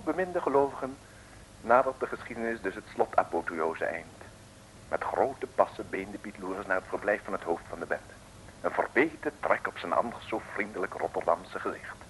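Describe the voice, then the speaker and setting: A man's voice: lowish voice, animated, normal speed, slight background noise, rough recording. A man narrating an old Dutch radio serial theatrically, in a mock-solemn, tongue-in-cheek way.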